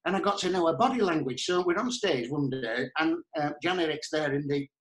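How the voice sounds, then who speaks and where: A man with a Northern English accent, telling comic anecdotes in an animated, chatty, earthy and colloquial way as a podcast guest. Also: fairly high pitch, a little fast. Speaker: podcast guest, pantomime performer. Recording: roomy, echoey sound.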